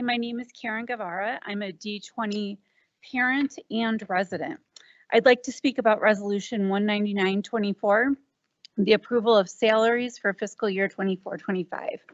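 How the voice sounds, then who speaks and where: A woman with a naturally loud voice, a parent, reading a direct prepared comment at a podium microphone. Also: mid-range voice, dry recording. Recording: dull lo-fi meeting audio.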